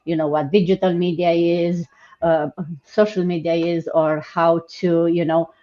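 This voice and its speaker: A woman hosts a live online business panel in a relaxed, chatty way. Her voice sits fairly low. The video call audio is muffled and lo-fi. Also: a little slow. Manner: relaxed, chatty, with filler words. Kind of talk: casual presenting. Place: home, in Ontario.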